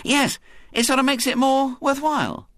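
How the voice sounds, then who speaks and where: A man voicing a nervous, excitable schoolboy who anxiously throws out ideas. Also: very high voice, no echo, clean studio sound, pitched above the performer's own voice. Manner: anxious, quick to suggest.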